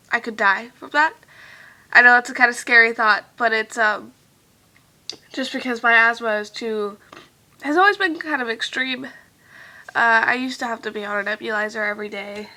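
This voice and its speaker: A young woman chatting casually to her viewers in a vlog, her nose stuffed and congested, rambling along with lots of fillers and trailing off softly now and then. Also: fairly high pitch. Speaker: vlogger.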